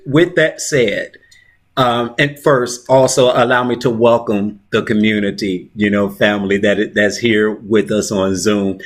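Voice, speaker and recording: A man hosting an interview on a video call, soft-spoken and stammering a little as he starts his sentences. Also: medium pitch. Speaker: host. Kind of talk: conversational interview.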